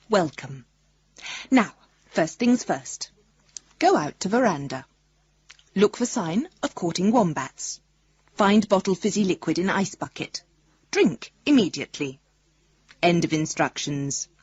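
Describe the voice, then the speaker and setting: An actress playing an affectionate grown daughter in a radio drama, reading a message in clipped, telegram-like phrases. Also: female voice, on the low side, darkish tone, slightly rough, no echo, heavily acted. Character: affectionate grown daughter giving brisk, playful instructions. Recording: dull, muffled radio recording.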